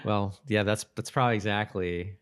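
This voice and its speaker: A man's voice: lowish voice, steady tone, moderate pace, a bit bright, clear, resonant tone. A man co-hosting a podcast in casual chat, often repeating and restarting his phrases. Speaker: podcast co-host. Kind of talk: casual chat about a TV episode.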